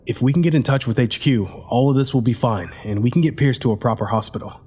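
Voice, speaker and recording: A man's voice: medium pitch, fast talker, lightly acted. A man playing an anxious, bitter, foul-mouthed spacecraft crew member in an audio drama. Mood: tense, resolute. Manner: muttering to himself, swearing, sighing. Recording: long hall-like reverb under loud music.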